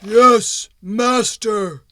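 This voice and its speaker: A woman voicing a hulking stone golem servant in a storybook reading, with a loud, slow, insistent growl. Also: fairly high pitch, dry recording, full character voice. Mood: flat, demanding.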